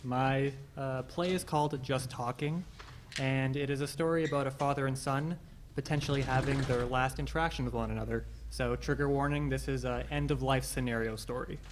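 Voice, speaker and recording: Young man introducing, over clear background noise. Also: medium pitch, fairly even intonation, fairly quick. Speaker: student playwright. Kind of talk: introducing a play. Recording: clearly noisy, with clicks.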